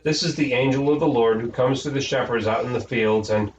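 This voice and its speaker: A man's voice: mid-range voice, a little fast, natural voice. A man reading the part of a patient, slightly weary mother explaining things to children in a storybook. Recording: echoey room, distant mic, noisy, dull.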